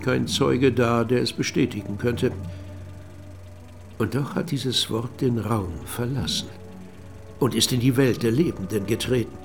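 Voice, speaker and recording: A man playing a reflective radio drama narrator who tells his account in a measured, cryptic way. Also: mid-range voice, creaky, faint music behind. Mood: measured, enigmatic. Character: reflective male narrator recounting evidence and memories. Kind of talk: scripted radio play narration.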